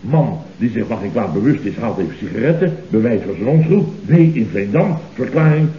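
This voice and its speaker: A man's voice: medium pitch, very animated, brisk, close to an everyday voice. A man acting a panicky, blustering office boss. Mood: conspiratorial, urgent. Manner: clipped orders, repetitive, agitated. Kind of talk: 1970s Dutch radio comedy acting. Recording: dull, echoey old radio recording.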